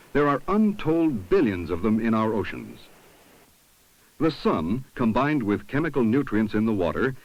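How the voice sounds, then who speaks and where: A man reading scripted 1970s documentary narration in a measured, instructive way, on an old film soundtrack. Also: mid-range voice, dry recording, muffled recording.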